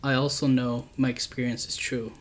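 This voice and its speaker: A man calmly reading true scary stories aloud as a channel host, with a steady, unhurried delivery. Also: medium pitch.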